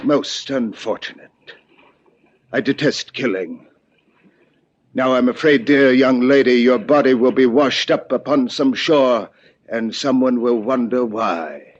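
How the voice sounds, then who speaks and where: An actor playing a smooth, menacing gunman in 1950s radio drama, speaking with formal, mock-courteous phrases and repeating himself. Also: medium pitch, a little slow, lightly acted. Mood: cold menace. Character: smooth, menacing gunman, mock-polite, talks to silent henchman. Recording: muffled, noisy old radio recording.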